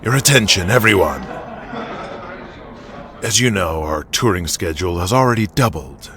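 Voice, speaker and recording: Deep, commanding wrestling promoter acted by a man. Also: animated, normal speed, noisy background. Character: shrewd, controlling male pro-wrestling promoter, commanding boss. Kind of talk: audio drama acting.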